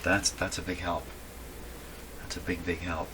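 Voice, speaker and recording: A man giving casual hands-on repair narration, halting and thinking aloud to himself as he works. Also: sits low, level tone. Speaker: host of a radio repair channel. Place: indoors at a home workshop. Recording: clearly noisy room recording.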